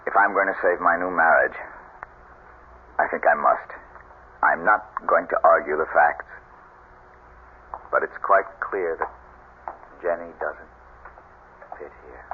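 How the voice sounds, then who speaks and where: Casting: bitter, brooding husband, deep voice, halting dramatic delivery, narrow noisy old-radio sound. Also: male voice. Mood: controlled, resolute. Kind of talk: 1970s radio drama acting. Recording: muffled, noisy vintage radio recording.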